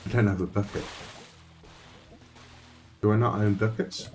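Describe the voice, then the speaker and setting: A man's voice: on the low side, steady tone, a little slow, a little mellow, faint music behind. A man thinking aloud and chatting with viewers while playing a survival game on a live stream. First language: Dutch. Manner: rambling, thinks aloud, talks to chat.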